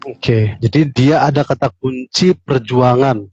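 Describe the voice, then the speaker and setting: A man hosts an interview, asking measured questions and pausing on "uh" between thoughts. His voice sits at an ordinary middle pitch. The sound is dull and lo-fi, yet dry with no room echo. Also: darkish tone, slightly rough. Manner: measured, "uh" fillers. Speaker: interview host.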